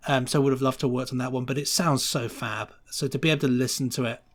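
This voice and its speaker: A man's voice: mid-range voice, fairly even intonation, quick pace. Male podcast guest, casual and enthusiastic, effusive, rambling conversational flow. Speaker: podcast guest, sound designer.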